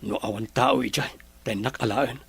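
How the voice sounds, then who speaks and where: A troubled young man in an Ilocano Filipino radio drama, played by a male actor. His mid-range voice is husky, and he speaks earnestly, like someone burdened by relationship woes. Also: medium pitch, fairly even intonation, normal speed, a bit bright, no room sound, heavily acted. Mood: earnest, subdued.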